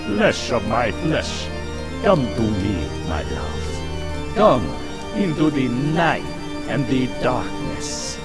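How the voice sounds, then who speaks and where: An ancient, hypnotic vampire in an old-style radio horror play. He intones in solemn, halting phrases, extremely slowly but with swooping, commanding inflection. Loud music and rain surge behind him. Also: mid-range voice, sing-song. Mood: pleading, commanding.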